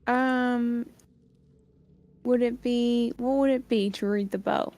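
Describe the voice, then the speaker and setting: A woman's voice: on the high side, steady tone, slow pace, darkish tone, dry recording. A woman playing in a tabletop game, joking with the others. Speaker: player. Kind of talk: casual game chat.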